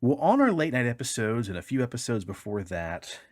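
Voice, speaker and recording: A man's voice: mid-range voice, sing-song, brisk, no echo, clean studio sound. A man co-hosting a podcast, reading odd news stories aloud with casual, wry, joking commentary. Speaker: podcast co-host.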